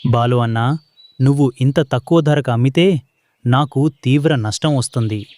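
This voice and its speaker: A man voicing an honest, gentle young husband bird, a humble trader in a children's cartoon, speaking earnestly. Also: medium pitch, normal speed, no echo, lightly acted. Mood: pleading. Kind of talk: children's animated story dialogue.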